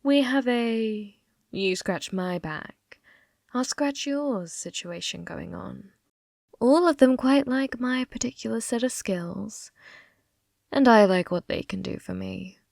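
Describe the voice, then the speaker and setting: A woman's voice: a little high, takes it slowly, creaky, darkish tone, no echo, studio quality. A woman playing a possessive young yandere in an ASMR roleplay, teasing and coolly threatening, often dropping into a whisper. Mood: sly, teasing.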